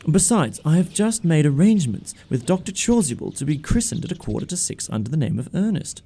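An actor playing a greedy, flippant young English gentleman in a comic radio play, with a glib, pompous, mannered delivery. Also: on the high side, very animated, a little fast, vocal fry, husky, heavily acted. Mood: glib, argumentative.

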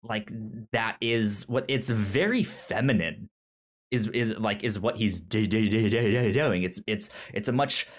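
A man co-hosting a review podcast, talking casually about a film in a halting way, often repeating words mid-sentence. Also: fairly low pitch.

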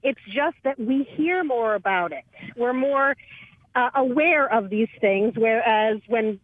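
A woman's voice: on the high side, a little slow, no echo. A woman in her forties on a podcast panel, matter-of-fact and arguing from facts. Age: 40s. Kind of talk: casual panel chat. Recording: tinny phone-like dry sound.

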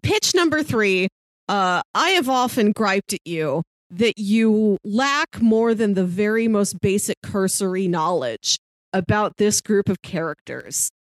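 A woman co-hosting a podcast, playfully pitching and chatting about films, chuckling as she talks. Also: lively intonation, unhurried, no room sound. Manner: chuckles mid-sentence, playful. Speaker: podcast co-host. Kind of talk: casual film podcast chat, pitching films and reading blurbs.

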